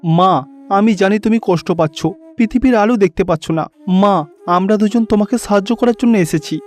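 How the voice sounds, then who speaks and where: A man's voice: high voice, moderate pace, darkish tone, pitched above the performer's own voice, natural voice. A man voicing a devoted young boy god in a cartoon, speaking in an earnest, pleading way. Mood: devoted, insistent.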